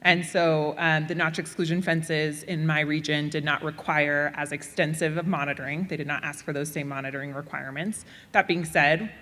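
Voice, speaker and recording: Low-voiced woman panelist in a hall. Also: fairly even intonation, normal speed, hardly any pauses, a bit bright. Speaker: panel presenter. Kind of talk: answering audience questions. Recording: reverberant hall.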